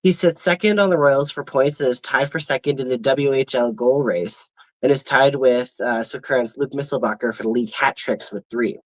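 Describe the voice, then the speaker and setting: A young man co-hosting a casual podcast, reading player stats in a relaxed way that trails off into loose chat. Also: mid-range voice, normal speed, dry recording, rough recording. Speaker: podcast co-host.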